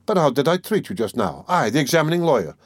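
A man's voice: on the high side, sing-song, fast, no room sound, voice pushed up. Guy doing a sly teasing old-novel investigator, fake friendly, ironic, voice leaping all over, dry close sound. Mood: ironical. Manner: teasing, chuckling, wheedling, ironical. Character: sly, mocking, falsely jovial examining lawyer, middle-aged man. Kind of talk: dramatic audiobook character reading.

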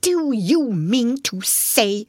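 A woman voicing an eager, bossy male rat in a storybook, emphatic and excitable, kindly but exasperated. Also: medium pitch, unhurried, husky, no room sound, clean studio sound, voice pushed up. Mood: triumphant, excited. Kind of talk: storybook character voice.

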